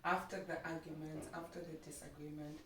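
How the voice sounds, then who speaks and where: A woman's voice: low-pitched, flat delivery, a little fast, a bit bright. A woman from Zimbabwe with a Zimbabwean accent, chatting as an interview guest and making her points emphatically with repeated phrases. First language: Shona. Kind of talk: casual conversation about marriage. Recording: echoey room, distant mic, faint noise.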